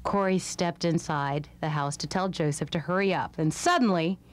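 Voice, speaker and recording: A woman's voice: on the low side, normal speed. A woman reading scripted narration for a children's storybook. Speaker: storybook reader.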